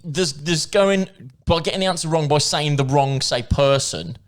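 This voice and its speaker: A loud, excitable young man co-hosting a podcast in casual banter, swearing freely. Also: normal speed. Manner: loud, excitable, swears freely, high-pitched when worked up. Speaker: podcast co-host.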